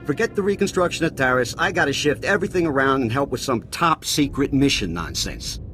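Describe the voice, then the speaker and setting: Guy voicing a snide colonel, grumbly and sardonic, pretty average pitch, game music loud behind him. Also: animated, fairly quick, close to an everyday voice. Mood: scornful. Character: sarcastic, dismissive middle-aged male army colonel. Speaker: regimental colonel.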